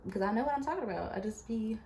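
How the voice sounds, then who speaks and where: A woman in her late twenties talking to her YouTube viewers in a casual, animated, chatty way, full of fillers. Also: a little high, very fast, slight background noise. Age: late 20s. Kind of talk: casual motivational vlog. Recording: echoey room, faint ambience.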